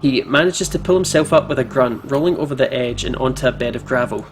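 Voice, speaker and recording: A man's voice: mid-range voice, fast talker. A man hosting a visual novel playthrough, reading the narration and adding casual commentary. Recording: clear siren and traffic noise behind. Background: game ambience of sirens, vehicles.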